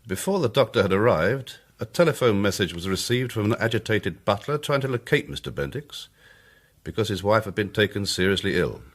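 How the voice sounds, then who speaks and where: An English amateur detective narrating in a period drama. His voice is fairly low, and he lays things out formally, with courteous, measured phrasing. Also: big pitch swings.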